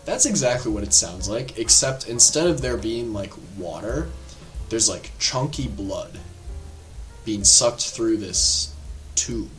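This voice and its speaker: A man giving a casual, rambling film review, full of filler words and quick asides, over faint background music. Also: fairly low pitch, poor audio. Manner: animated, rambling, lots of filler words and laughs.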